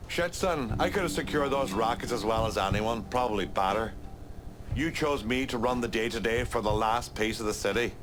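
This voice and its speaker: A man's voice: mid-range voice, moderate pace, background noise, close to an everyday voice. A man playing a brash, crude gangster, cocky and irreverent, in a video game cutscene. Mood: disgruntled.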